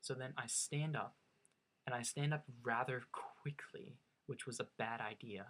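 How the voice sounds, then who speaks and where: A young man with a faint Norwegian accent tells a personal story casually. He talks in a chatty, self-mocking way and often stops to restart his sentences. His voice sits at an ordinary pitch for a man. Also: unhurried, fairly bright tone, slight background noise. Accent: slight Norwegian. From United States. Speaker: vlogger.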